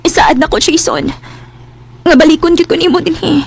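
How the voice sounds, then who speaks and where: A woman's voice: very high voice, normal speed, slight background noise. An actress playing a tender, emotional young country woman in a radio drama, with a Cebuano accent. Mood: hesitant, pleading. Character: young rural woman in love, pregnant, abandoned, tender and tearful.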